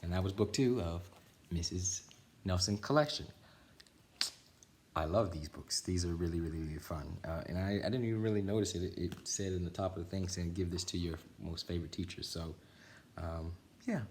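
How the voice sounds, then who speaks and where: A man reading a children's picture book aloud as storytime, with expressive, dramatic phrasing. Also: sits low, normal speed, slight background noise. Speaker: storytime reader.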